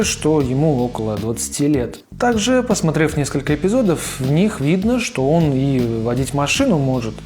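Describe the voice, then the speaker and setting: A young man with a fairly high, animated voice narrates a video essay. He talks informally and gives his opinions in slangy, conversational phrasing. Music plays faintly behind him. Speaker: host of an animation review channel. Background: background music.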